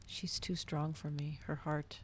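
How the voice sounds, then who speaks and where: Woman reading as an anguished, soft-voiced male vampire. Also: low voice, flat delivery, a little slow, a little hiss or hum. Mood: reluctant. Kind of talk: ASMR audiobook reading.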